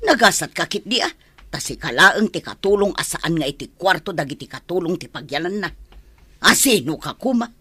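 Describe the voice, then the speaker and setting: An actress playing a bossy, grumbling senior housemaid in a radio drama, with a sharp-tongued, impatient manner. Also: female voice, lowish voice, very animated, a little fast, slightly rough, dry recording, exaggerated voice. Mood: irritated, bossy.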